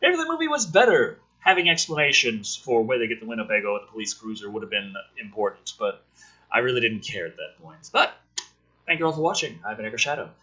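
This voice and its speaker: A man's voice: medium pitch, quick pace, slightly rough, lo-fi sound. A man reading a film script dramatically as a video host, breaking off for casual, sweary, sardonic commentary. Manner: theatrical, animated delivery.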